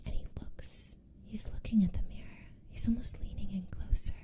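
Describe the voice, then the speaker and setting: A woman's voice: mid-range voice, fairly even intonation, normal speed, warm tone. A woman whispering a casual recap of a TV series, with lots of "like" and "you know".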